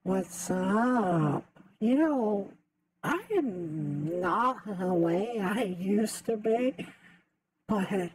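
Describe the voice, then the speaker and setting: A man's voice: high-pitched, expressive, extremely slow, slightly rough, no echo, poor audio. A young man chatting casually and jokily to camera on a headset mic. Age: young adult. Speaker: gaming video creator. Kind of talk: casual comic chat to camera. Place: small home room.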